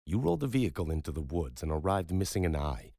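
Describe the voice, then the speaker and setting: A man voicing a calm, clipped trauma doctor speaking matter-of-factly in a deep, husky voice. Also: fast talker, no room sound, studio quality, full character voice. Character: calm, dry-witted male trauma doctor.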